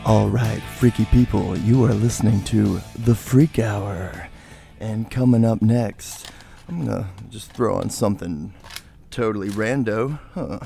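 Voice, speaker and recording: A man playing a slack, rambling late-night radio DJ in an audio comedy, with a creaky voice. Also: lowish voice, a little slow, dark tone, soft background music. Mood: laid-back. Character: laid-back male late-night freak radio show DJ.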